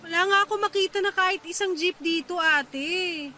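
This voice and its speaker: A woman's voice: very high-pitched, normal speed, bright, forceful tone, soft background music. A fed-up young woman stranded at night. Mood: exasperated. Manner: exasperated, complaining. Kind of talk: radio drama acting.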